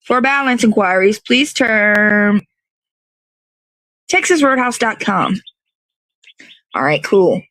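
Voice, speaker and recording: A woman's voice: mid-range voice, lively intonation, slow pace, pauses often, a bit bright, no echo, low-quality recording. A woman hosting a casual livestream outdoors, chatting in a meandering way as she thinks aloud. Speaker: host of an online morning show. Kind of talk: casual rambling livestream chat. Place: outdoors in the cold.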